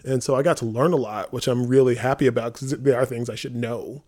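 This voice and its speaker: A man talking casually on a podcast as one of the hosts, informal and animated, often acting out quotes. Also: mid-range voice, brisk. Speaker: theater company member and podcast host.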